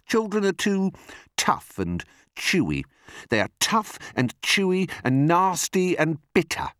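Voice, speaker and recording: A man's voice: high-pitched, wildly swooping pitch, slow pace, fairly bright tone, clean tone, no room sound, clean studio sound, pitched above the performer's own voice, full character voice. A man voicing a smaller, argumentative crocodile, scornful and protesting, in a storybook reading. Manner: protesting, contradicting.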